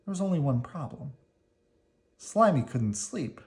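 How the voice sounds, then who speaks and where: A woman reading a children's storybook aloud in an expressive, dramatic way. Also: sits low, a little slow. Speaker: storybook reader. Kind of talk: children's read-aloud narration.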